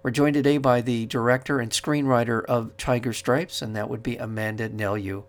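Male radio host, measured and earnest, long-winded interview questions. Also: mid-range voice, steady tone.

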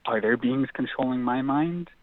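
A man's voice: medium pitch, normal speed, dry recording. A young adult man, an author and mental health advocate, calling in to a radio interview and speaking earnestly and reflectively, with frequent fillers. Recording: tinny phone line, dry.

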